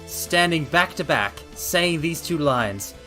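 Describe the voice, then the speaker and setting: Expressive male streamer reading aloud over soft music. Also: fairly high pitch, slow. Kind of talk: dramatic read-aloud of a visual novel with casual asides. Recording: faint game music behind voice. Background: game soundtrack.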